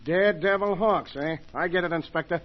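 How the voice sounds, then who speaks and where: An actor playing a cocky, skeptical tough-guy daredevil driver in an old radio mystery, slangy and offhand, with a husky voice. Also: high voice, a little fast, natural voice. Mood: cocky, game. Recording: dull old radio recording.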